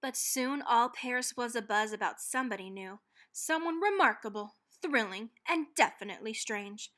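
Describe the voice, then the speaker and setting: A woman reading a children's book aloud as narrator, expressively and dramatically, leaning on key words and pausing for effect. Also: a little high, bright, forceful tone. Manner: dramatic, with stressed words and pauses. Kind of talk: expressive scripted storybook reading.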